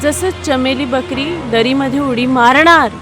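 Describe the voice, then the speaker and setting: A woman's voice: high voice, a little slow, over loud music. A woman storyteller reading scripted narration for a children's animal story.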